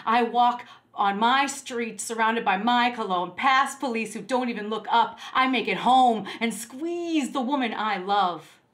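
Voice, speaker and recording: A middle-aged woman reads her own poetry aloud in a mid-pitched voice. She moves slowly and deliberately, shaping each phrase for drama, close to a handheld phone. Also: a bit bright. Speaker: poet. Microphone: phone at arm's length.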